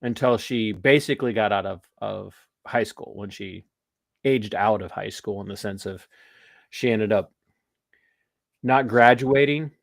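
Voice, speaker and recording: Dry, rambling American podcast host. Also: male voice, on the low side, measured pace, gravelly, dry recording. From United States. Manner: rambling, animated, with restarts and laughs. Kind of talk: casual political talk.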